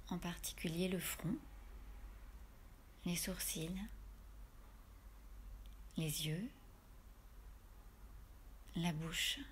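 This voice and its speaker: A woman leading a guided yoga relaxation in a soft, breathy voice, giving soothing, unhurried instructions with pauses. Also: lowish voice, slight background noise.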